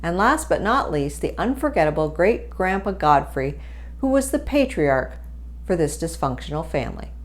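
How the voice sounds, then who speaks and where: A woman's voice: fairly low pitch, sing-song, normal speed. A woman reading a humorous story aloud as a storyteller, in scripted narration. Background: music.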